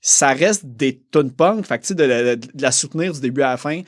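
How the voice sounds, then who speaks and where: A man's voice: mid-range voice, lively intonation, fairly quick, dry recording. A man with a Quebec French accent hosting a casual podcast interview, chatty and eager, often talking over his guest. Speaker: podcast host.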